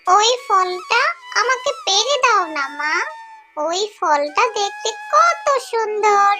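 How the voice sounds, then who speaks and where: A very high-pitched, slow-talking little fox cub girl, eager and pleading like a small child. It is cartoon dialogue with music underneath. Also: very animated, exaggerated voice.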